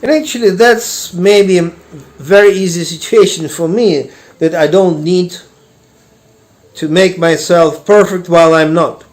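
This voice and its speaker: A man with a Russian accent telling a personal story slowly, with many pauses and fillers. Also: sits high. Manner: unhurried, halting, many fillers and restarts. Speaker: meditation teacher and artist.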